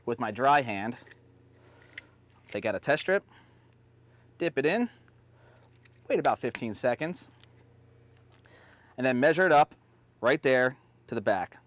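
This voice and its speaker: A man's voice: mid-range voice, lively intonation, a little slow, pauses often, no echo. A man in his thirties hosting an unscripted how-to, talking step by step in an upbeat, plain way while working in a backyard. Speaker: host. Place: backyard outdoors beside a hot tub.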